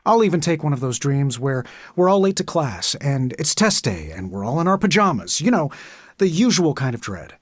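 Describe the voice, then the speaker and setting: A wisecracking billionaire superhero dude for a game, sarcastic and cocky, kinda high voice, really dry close studio sound. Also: male voice, very animated, normal speed, no echo. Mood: sardonic. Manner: glib, sardonic, conversational delivery. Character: witty, cocky male billionaire inventor superhero. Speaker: video game voice actor. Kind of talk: video game character voice lines.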